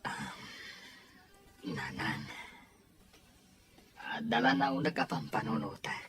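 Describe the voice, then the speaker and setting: A woman playing a soft-hearted, self-sacrificing grown man, a devoted uncle, in an audio story drama. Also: extremely low pitch, sing-song, takes it slowly, soft background music, full character voice. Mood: hesitant, subdued.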